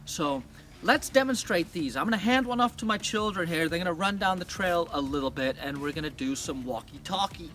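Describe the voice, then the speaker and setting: A man's voice: fairly high pitch, expressive, fairly quick, fairly bright tone, recorded from a distance, a little hiss or hum. A middle-aged American man narrating a gear review in a plain-spoken way. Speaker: presenter of an off-road gear channel.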